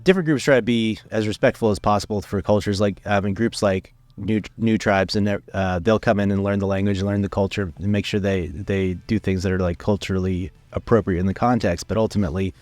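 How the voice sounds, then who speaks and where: A young man talking casually as an interview guest, relaxed and rambling, with many fillers and restarts. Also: fairly low pitch, fairly quick, few pauses, no room sound. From United States, raised in Venezuela. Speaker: filmmaker guest. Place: studio.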